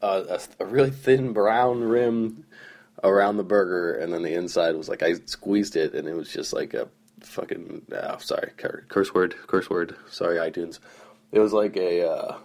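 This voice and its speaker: Young male podcast host, hoarse and groggy, deep voice, rambling, self-deprecating storyteller. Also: steady tone, moderate pace, darkish tone. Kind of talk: casual solo storytelling.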